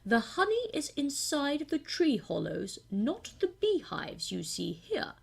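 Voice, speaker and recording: A woman's voice: high-pitched, wildly swooping pitch, slow, vocal fry, pitched above the performer's own voice, heavily acted. A woman voicing a pompous, wordy owl who gives stately instructions in a children's storybook game. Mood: helpful, instructive.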